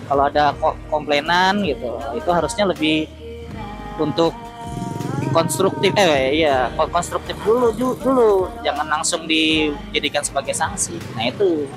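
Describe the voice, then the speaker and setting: A man chatting casually as a motorcycle taxi driver, explaining things with halting self-repeats over a helmet intercom while riding. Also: fairly high pitch, music in the background. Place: outdoors, riding a motorcycle through city streets. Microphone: helmet intercom headset.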